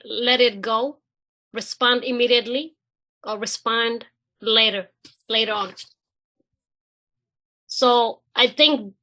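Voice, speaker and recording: Workshop facilitator, woman, bright and slightly high, measured and encouraging, on a video call. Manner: measured, encouraging, talks through slides. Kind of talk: leading a group discussion.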